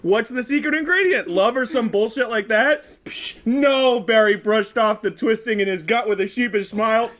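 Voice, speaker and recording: A man's voice: high-pitched, normal speed, fairly bright tone. A man on a group livestream reading a story aloud with exclaimed asides, suffering from burning hot sauce. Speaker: streamer reading fan fiction aloud. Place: group livestream with others reacting. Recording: rowdy group chatter behind.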